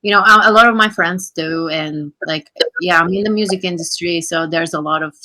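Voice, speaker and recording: A woman's voice: lowish voice, fairly quick, sharp tone, no echo. A woman from Bulgaria chatting casually and frankly on a group call, swearing now and then. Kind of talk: casual chat.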